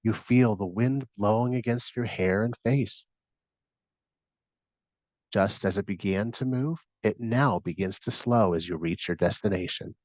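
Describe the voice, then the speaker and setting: A man with a fairly low voice leads a guided meditation. He reads scripted instructions and imagery in a soothing, measured way, and the recording is close and dry. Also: a little mellow, dry recording.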